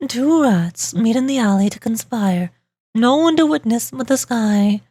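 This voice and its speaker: Game detective heroine put on by a woman: young, high-pitched, grandiose, theatrically dramatic. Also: expressive, a little slow, warm tone, no room sound. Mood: brooding, grandiose. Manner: dramatic, pompous.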